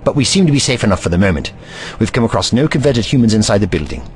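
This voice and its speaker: A man's voice: lowish voice, lively intonation, very fast, background noise, natural voice. A man voicing a haughty, eccentric time-traveling scientist in an audio drama, pompous and lecturing.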